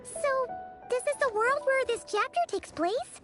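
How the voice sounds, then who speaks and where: A woman voicing a small, cheeky, childlike fairy companion who teases in an animated video game. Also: very high-pitched, unhurried, over music. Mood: curious. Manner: teasing, exclaiming.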